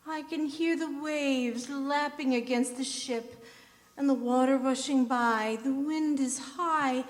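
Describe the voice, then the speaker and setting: A woman's voice: high-pitched, steady tone, takes it slowly. A woman playing a haunted young woman under a vampire's spell in a staged radio drama, dreamy and incantatory. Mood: trance-like. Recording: reverberant hall, music behind.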